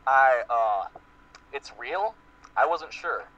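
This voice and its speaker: A man's voice: medium pitch, sing-song, slow pace, sharp tone. A man giving an amateur dramatic reading of fan fiction as part of a group. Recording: muffled lo-fi voice-chat recording.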